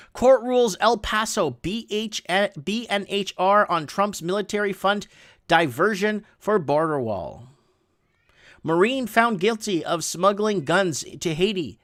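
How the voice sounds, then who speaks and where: A man's voice: high-pitched, a bit bright. A middle-aged man, a native Portuguese speaker, hosting a livestream and casually reading and commenting on news headlines with rambling, sarcastic asides. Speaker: livestream host.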